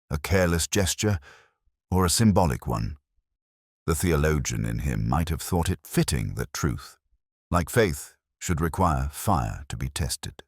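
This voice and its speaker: Very deep-voiced male narrator, measured. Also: no room sound, clean studio sound. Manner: measured, literary, reflective. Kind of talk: first-person detective story narration.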